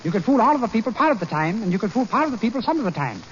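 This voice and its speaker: A man's voice: high-pitched, big pitch swings, very fast, voice pushed up. A man voicing a flustered, blustering small-town mayor in 1940s radio comedy acting. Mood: exasperated. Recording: dull 1940s radio broadcast, faint hiss.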